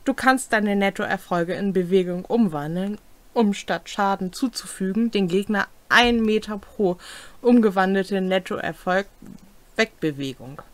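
A young woman reads game rules aloud in a casual setting. She takes it slowly, item by item, in a medium-pitched voice. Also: no echo. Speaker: co-host presenting game rules. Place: small home room, indoors.

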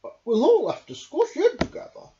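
A man's voice: very high voice, wildly swooping pitch, moderate pace, noisy background, pitched above the performer's own voice, full character voice. A man voicing a kind, worried, easily flustered young badger in a picture-book story, exclaiming and fretting. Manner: fretful, exclaiming. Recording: dull lo-fi home recording.

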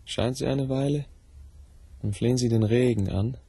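An actor playing a sceptical, world-weary man in a radio play, with a gravelly, husky voice. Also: medium pitch, moderate pace, warm tone, dry recording. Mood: weary, questioning.

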